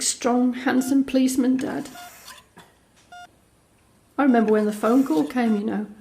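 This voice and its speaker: Audio drama lead: actress as frank, guarded young daughter, warm dark tone, intimate conversational monologue. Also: female voice, a little high, slight background noise, lightly acted. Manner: confiding, conversational storytelling that re-enacts dialogue. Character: Alex, young woman, guarded, frank, tender daughter confiding a secret.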